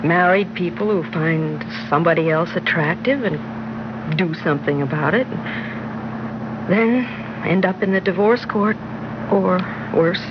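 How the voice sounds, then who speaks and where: A woman's voice: fairly low pitch, measured pace, pauses often, dull audio, lightly acted. An actress playing an anxious, pleading married woman having a secret affair, in a classic radio drama on a noisy old recording. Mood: tender, intimate. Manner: urgent stammering when pressed. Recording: noisy band-limited old radio recording, vehicle sound.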